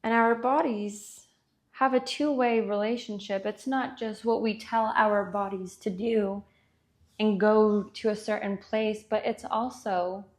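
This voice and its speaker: A woman guiding a body scan meditation with gentle, unhurried instructions and long pauses. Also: mid-range voice, steady tone. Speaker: meditation guide.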